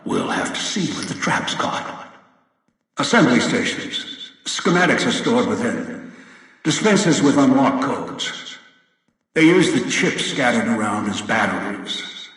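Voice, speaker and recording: Calm, threatening old villain for a game, voice ringing in a roomy space with a long tail. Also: male voice, mid-range voice. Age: older. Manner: cold, measured, menacing. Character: old male scheming manipulative elder villain. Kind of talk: video game voice acting.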